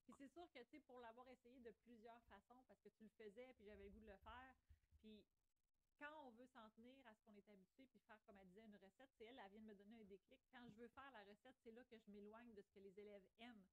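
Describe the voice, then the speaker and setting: A woman's voice: fairly high pitch, quick pace, low-quality recording. A woman with a Quebec French accent talking casually as a podcast co-host and schoolteacher. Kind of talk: casual conversational podcast talk.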